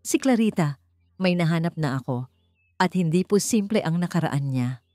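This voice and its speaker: A woman's voice: fairly low pitch, animated, normal speed, mellow, no room sound, faint music behind, lightly acted. A woman voicing a serious male private investigator reporting over the phone in an audiobook.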